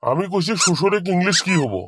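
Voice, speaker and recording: Man acting a high-pitched, stern schoolmaster, noisy recording. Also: expressive, fast. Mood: exasperated scolding. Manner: scolding, hectoring, mixing English words into Bengali. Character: stern, exasperated village schoolmaster scolding his pupils. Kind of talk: comedy cartoon dub.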